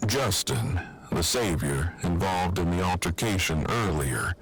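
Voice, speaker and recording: A man acts out an earnest survivor in an audio drama. His voice sits fairly low, and he speaks in stiff, wordy, precisely worded sentences through a walkie-talkie radio. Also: expressive, unhurried, fairly bright tone, faint music behind, low-quality recording. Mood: grave, matter-of-fact. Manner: stiff, verbose, precise diction. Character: formal, long-winded, earnest male survivor. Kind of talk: fan audio drama acting.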